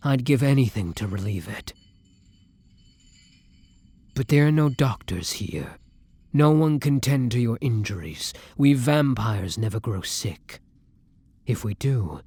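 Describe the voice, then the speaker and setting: A man playing a gentle, tormented vampire in an intimate ASMR roleplay, speaking in a breathy hush with halting, dramatic pauses. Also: lowish voice, no room sound, exaggerated voice. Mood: tender concern, then wistful. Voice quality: breathy, hushed, dropping into whispers. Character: tormented, gentle male vampire torn between hunger and compassion.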